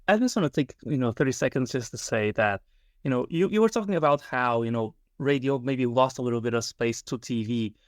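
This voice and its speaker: A man's voice: medium pitch, fairly quick, dry recording, studio quality. A man in his twenties co-hosting a podcast, asking casual, chatty questions with many fillers. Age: 20s. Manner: chatty, tangent-prone with fillers. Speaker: podcast co-host. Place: Portugal.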